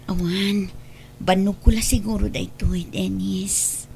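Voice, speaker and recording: A troubled wife yearning for a child. Her voice sits at a middle pitch and carries a guilty weight, in radio drama style. There is some noise behind her. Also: steady tone, measured pace, creaky, mellow. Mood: weary, reassuring. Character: married woman Amy, troubled, guilt-ridden, longing for a child. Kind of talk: Ilocano radio drama acting.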